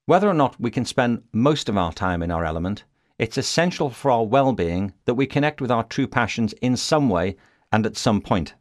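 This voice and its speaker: A man's voice: mid-range voice, expressive, normal speed, dry recording. Man reading nonfiction in a dry room. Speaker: audiobook narrator. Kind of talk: scripted nonfiction narration.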